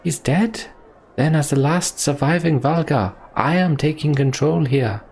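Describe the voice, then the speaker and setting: Man putting on a high, commanding sorceress. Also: a little slow, darkish tone, slight background noise. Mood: stern, commanding. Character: commanding female Valka mage, stern and urgent. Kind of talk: voiced video game dialogue.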